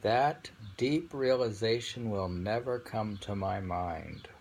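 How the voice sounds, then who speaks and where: A man reading poetry aloud and discussing it informally, in an unrehearsed, halting way. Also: lowish voice, gravelly, darkish tone. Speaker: poetry reader and host. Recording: faint background ambient noise.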